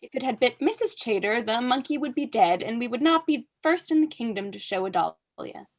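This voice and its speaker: A woman's voice: on the high side, lively intonation, fairly quick. A young woman acting a haughty, witty aristocratic mother in a staged reading, pompous, arch and declamatory. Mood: arch, imperious. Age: young adult. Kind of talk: staged reading of a period comedy.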